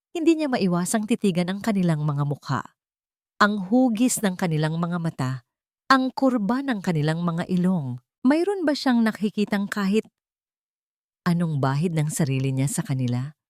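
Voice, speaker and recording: Low-pitched Filipino woman narrating a drama. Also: darkish tone, no room sound, clean studio sound. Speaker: audiobook narrator. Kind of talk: dramatic scripted story narration.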